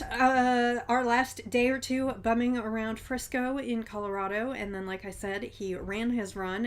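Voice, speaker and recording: Woman host, fairly high voice, slightly stuffy from a cold, rambling and chatty with lots of ums. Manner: chatty and meandering, with frequent ums. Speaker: podcast host. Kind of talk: casual chat to camera.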